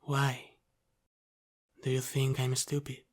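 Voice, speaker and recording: Very slow, hushed Italian-American mafia boss, acted by a man. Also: medium pitch, monotone. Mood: cool, suspicious. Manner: deliberate, halting, teasing, often dropping to a whisper. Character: teasing, menacing Italian-American mafia boss, calm and controlling. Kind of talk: slow ASMR roleplay, intimate.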